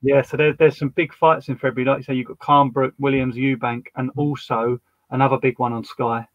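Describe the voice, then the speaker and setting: A man's voice: medium pitch, little pitch movement, a little fast, dry recording, dull audio. A man co-hosting a podcast, chatting in an easygoing, jokey way. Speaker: podcast co-host. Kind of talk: casual chat.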